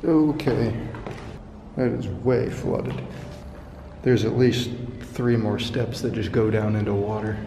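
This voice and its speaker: A man with a low, gravelly voice talks to his camera while poking through abandoned buildings and brush. His commentary is dry and deadpan, full of wry little jokes. The camera audio is noisy, with clear background hiss. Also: takes it slowly. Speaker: urban explorer vlogger. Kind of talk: casual solo exploring commentary. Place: abandoned buildings and overgrown fields, indoors and outdoors.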